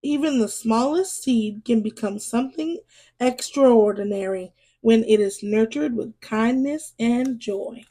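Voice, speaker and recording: A woman playing a kindly, wise old grandmother turkey in a children's storybook, gentle and measured. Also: on the high side. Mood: tender, wise.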